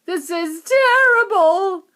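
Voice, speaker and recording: A woman's voice: very high voice, expressive, slow, pushed and bright, pitched above the performer's own voice, heavily acted. A woman voicing a frantic middle-aged mother who wails and cries out in panic. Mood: wailing. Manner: wailing, panicky.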